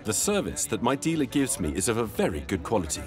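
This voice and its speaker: A man's voice: lowish voice, big pitch swings, quick pace, darkish tone, soft background music. A man in his thirties with a Spanish accent, a farmer giving an earnest customer testimonial in a tractor showroom. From Spain.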